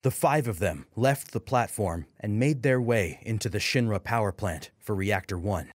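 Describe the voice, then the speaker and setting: A man's voice: fairly low pitch, lively intonation, moderate pace, no echo, clean studio sound. A man narrating a scripted dramatic story as an audiobook.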